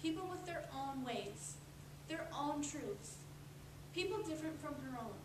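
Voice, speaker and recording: A woman narrating a storybook in readers theatre style, with deliberate, dramatic storytelling. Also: sits high, lively intonation. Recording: echoey room, distant mic, clear noise.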